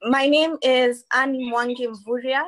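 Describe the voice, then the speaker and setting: A Kenyan woman in her twenties speaks in a somewhat high voice. She gives a formal introduction as a student leader addressing a panel. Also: fairly even intonation, takes it slowly, a bit bright. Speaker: student union president, webinar panelist.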